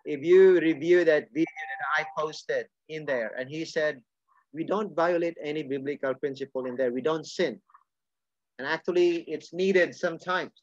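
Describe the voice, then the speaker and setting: Guy preaching, bit higher voice, earnest, dull lo-fi dry sound. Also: moderate pace, no room sound. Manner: earnest, repeats and restarts phrases. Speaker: pastor. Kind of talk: sermon.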